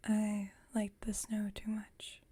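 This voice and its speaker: A woman playing a calm, dryly witty, centuries-old female vampire roommate in an ASMR roleplay, speaking in a soft breathy whisper with gentle, slightly formal phrasing. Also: mid-range voice, slow pace. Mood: calm, reflective.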